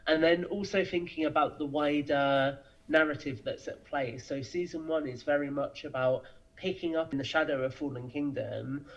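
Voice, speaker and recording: Dull lo-fi recording of a male guest. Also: fairly high pitch. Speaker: podcast guest, audio drama creator. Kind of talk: conversational interview answers.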